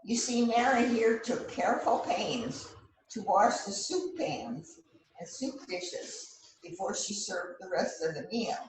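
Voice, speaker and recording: A woman playing a bossy, showy police detective in an amateur readers theater rehearsal, speaking in a deliberate, emphatic way. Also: mid-range voice, sing-song. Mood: triumphant. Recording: roomy, distant mic with faint noise.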